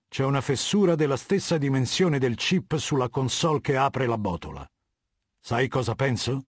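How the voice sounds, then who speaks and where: Video game warlord, middle-aged. Husky, imperious, measured authority; dry studio sound. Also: male voice, mid-range voice, dry recording. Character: cold, commanding middle-aged male warlord of a legion. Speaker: voice actor.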